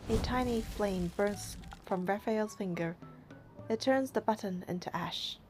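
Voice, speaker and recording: A woman's voice: medium pitch, measured pace, music in the background. A woman doing a game playthrough, reading narration and heroine lines aloud and commenting casually.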